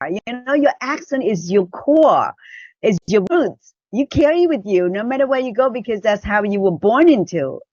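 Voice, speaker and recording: A woman's voice: mid-range voice, expressive, moderate pace, a little mellow, no echo, muffled recording. A middle-aged woman from Vietnam with a Chinese-Vietnamese accent, a podcast guest telling her story in a talkative, self-correcting way. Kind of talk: personal storytelling in an interview.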